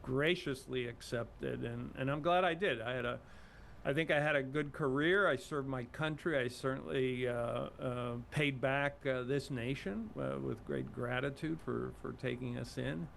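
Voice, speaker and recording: A man's voice: mid-range voice, a little slow, gravelly, fairly bright tone, slight background noise. An older man from Cuba, a guest in an interview, speaking modestly with many fillers and restarts. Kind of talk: casual interview talk.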